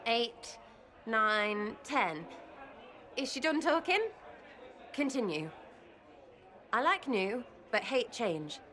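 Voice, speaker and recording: A brash rambling young woman, slow. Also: fairly high pitch, very animated, pushed and bright, some chatter behind, heavily acted. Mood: nervous. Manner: rambling, crude slang, giggly. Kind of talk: video game cutscene acting.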